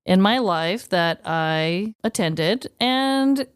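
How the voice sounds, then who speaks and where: A woman's voice: mid-range voice, expressive, extremely slow, clear, resonant tone, no echo, studio quality. A young woman co-hosting a comedy podcast, talking in a playful, exaggerating way. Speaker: podcast co-host. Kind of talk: casual comedic banter and dramatized true-crime storytelling.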